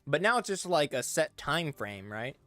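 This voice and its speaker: A man's voice: fairly high pitch, moderate pace, no echo. A young man casually chatting on a live stream, talking through each step as he works and reading chat aloud. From English-speaking. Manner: relaxed, talks through steps as he works, reads chat aloud.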